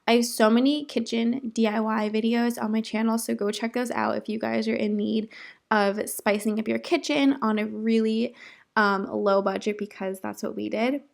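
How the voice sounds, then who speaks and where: A woman in her twenties with a cold-roughened voice, chatting casually through a home kitchen makeover vlog. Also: a little high, little pitch movement, normal speed. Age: 20s. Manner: chatty, self-deprecating, many ums. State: hoarse from a cold. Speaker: home DIY vlogger.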